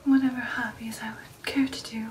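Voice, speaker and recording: A woman voicing a refined, affectionate female vampire in an intimate ASMR roleplay, with a breathy whisper and a genteel manner. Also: fairly high pitch, flat delivery, fairly quick, distant mic, a little hiss or hum, lightly acted. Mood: coy, curious. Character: refined, affectionate female vampire recounting an old shipboard romance. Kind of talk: ASMR roleplay, personal attention.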